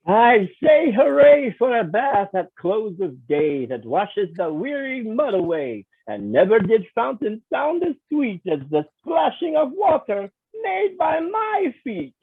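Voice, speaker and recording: Slow, high-pitched man, wildly swooping voice. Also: big pitch swings, breathy edge, dry recording, narrow, tinny audio. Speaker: actor in a table read. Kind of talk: theatrical script reading with verse.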